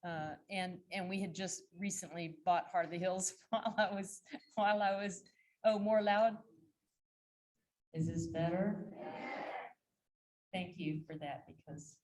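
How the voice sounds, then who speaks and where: Soft-spoken woman presenting. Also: on the low side, steady tone, a little slow, long pauses. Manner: soft-spoken, folksy, laughing asides. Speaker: guest speaker. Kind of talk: club presentation.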